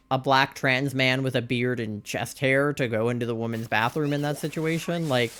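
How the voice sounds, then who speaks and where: A man's voice: mid-range voice, fairly bright tone, slight background noise. A young adult man streaming live, chatting candidly in a rambling way, full of "like" fillers and exasperated rhetorical questions. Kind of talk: casual chat while live-streaming a game.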